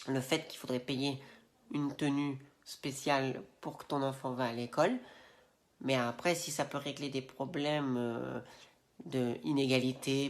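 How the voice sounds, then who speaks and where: A man with a head cold and a stuffy nose, ranting casually to camera with slangy, rambling indignation and plenty of fillers. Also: medium pitch. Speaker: Instagram video creator. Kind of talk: casual opinion rant to camera.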